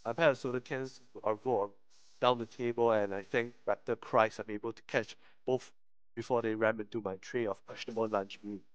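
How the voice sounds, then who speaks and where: A man's voice: mid-range voice, fairly even intonation, fairly quick, clear, resonant tone, dry recording, muffled recording. A man live-streaming a visual novel, reading it aloud with slangy commentary and frequent stumbles over the text. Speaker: game streamer.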